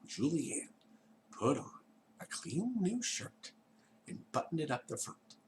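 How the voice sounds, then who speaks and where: A man reading a children's story aloud in a theatrical way, dropping into hushed tones and chatting to his young listeners. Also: on the high side, very animated, slow pace, slight background noise.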